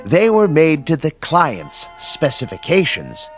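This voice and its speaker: A genteel caretaker gentleman speaks with a very animated, swooping pitch and an unhurried pace. He is fussy, courteous and unflappable, in the mannered way of classic radio drama, with music underneath. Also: full character voice. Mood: genteel, chatty. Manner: courteous, mannered, old-fashioned phrasing.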